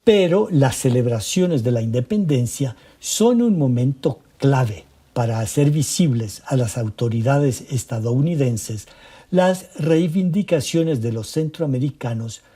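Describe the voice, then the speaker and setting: A man narrating a documentary script in a measured, formal way. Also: mid-range voice, expressive, dark tone, breathy edge.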